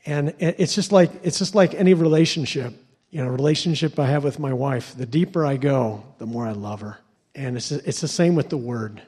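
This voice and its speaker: A man's voice: mid-range voice, a little fast, a little mellow, breathy edge. A man from Ohio giving a sermon to a church congregation as a guest lay preacher, stumbling and repeating words with lots of fillers. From Ohio, USA.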